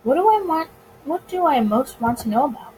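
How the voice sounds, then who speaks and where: A high-pitched woman reads a visual novel out loud as a let's play host. Her delivery swings up and down freely and trips on words here and there. There is noise behind her. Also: animated, fairly quick, low-quality recording. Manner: stumbles over words while reading. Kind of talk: reading a visual novel aloud with casual commentary.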